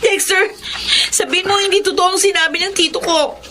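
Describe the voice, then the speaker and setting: A woman's voice: very high-pitched, a little fast, pushed and bright, a little hiss or hum. An actress playing an emotional young woman in a radio drama. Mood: anguished, furious. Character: emotional young woman, betrayed in love, later grateful. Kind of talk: Tagalog radio drama acting.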